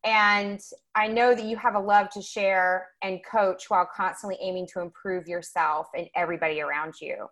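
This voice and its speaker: A woman's voice: mid-range voice, fairly even intonation, normal speed, hardly any pauses, a bit bright. An upbeat woman hosts a conversational podcast. She introduces her guest with enthusiasm and asks easygoing follow-up questions. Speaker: podcast host. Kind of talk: casual interview hosting.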